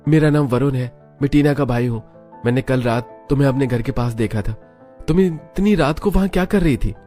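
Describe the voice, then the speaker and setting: A man's voice: mid-range voice, a little fast, mellow, soft background music, natural voice. A man playing a curious, puzzled young college man in a cartoon story, questioning insistently. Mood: calling, curious. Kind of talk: Hindi cartoon story dialogue.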